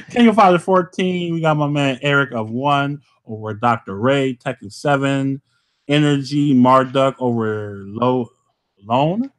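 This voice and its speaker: A man hosts a casual podcast in an easygoing voice of middling pitch. He talks loosely and tacks "man" onto almost every phrase as he gives shout-outs. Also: a little slow. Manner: laid-back, says "man" constantly, shouting out players. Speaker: podcast host.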